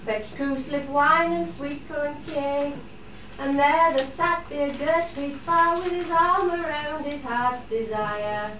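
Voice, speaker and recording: Female ballad singer, Cumbrian dialect, high voice, strong echo, set back from the mic. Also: takes it slowly, pushed and bright. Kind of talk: dialect folk ballad singing with refrain. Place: studio theatre. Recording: very echoey, distant, faint noise.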